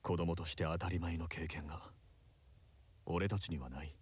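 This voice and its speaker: Husky, deep-voiced reserved man. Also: steady tone, fast, dry recording. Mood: quiet, earnest. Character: low-voiced, gruff, reserved adult man, quietly tender. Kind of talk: visual novel voice acting. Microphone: close, dry studio mic.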